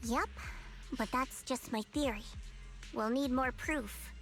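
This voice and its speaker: High-pitched, proud young investigator. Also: female voice, slow, fairly bright tone, soft background music, exaggerated voice. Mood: thoughtful. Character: proud, cool-headed young female investigator. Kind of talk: anime game voice acting.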